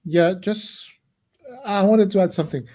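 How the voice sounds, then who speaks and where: A man from Haiti on a video-call panel, speaking in a measured, deliberate way. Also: high voice, mellow. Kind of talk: panel discussion.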